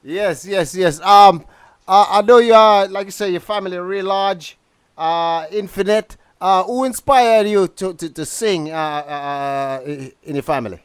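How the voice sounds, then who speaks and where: A man's voice: high voice, clear, resonant tone, no echo. Male live-show host, hesitant and full of fillers, dry close-miked sound. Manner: halting, many "uh" and repeated words. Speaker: radio host. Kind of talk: casual live interview.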